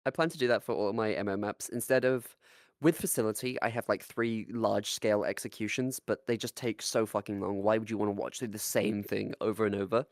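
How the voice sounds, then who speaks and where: Animated, sweary podcast co-host with a dry sound. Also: medium pitch, a little fast, dry recording. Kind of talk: casual chat.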